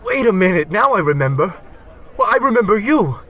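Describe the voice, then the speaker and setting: A man's voice: high-pitched, big pitch swings, fairly quick, a bit bright, a little hiss or hum, exaggerated voice. A man playing a slangy, wisecracking working-class barfly in an old-time comedy radio play. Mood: puzzled. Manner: slangy tough-guy patter. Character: wisecracking 1940s-style working-class barfly, excitable, easily panicked.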